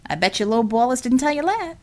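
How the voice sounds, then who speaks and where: A woman's voice: high voice, very animated, fast, pitched above the performer's own voice. Woman doing a folksy American union man. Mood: confident. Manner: folksy, colloquial, blunt shop talk. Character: heavy-set, plain-spoken American male union contractor.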